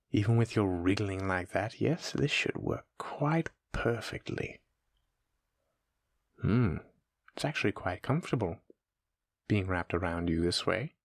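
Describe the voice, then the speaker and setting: Man voicing a low-voiced, taunting shape-shifting monster. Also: dry recording, studio quality, exaggerated voice. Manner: taunting, musing, unhurried menace. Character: sadistic playful male shape-shifting monster, sinuous and many-legged. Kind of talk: horror audio roleplay addressed to the listener.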